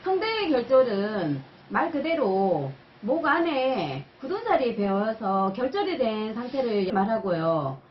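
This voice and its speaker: A woman's voice: on the high side, dull audio, slight background noise. A woman channel host giving an explanatory personal talk in an interview-style format.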